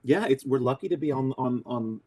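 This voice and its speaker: A man who voices a cartoon character, talking in a casual interview, excitable and crude, joking and restarting often. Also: medium pitch, brisk, husky. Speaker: voice actor guest.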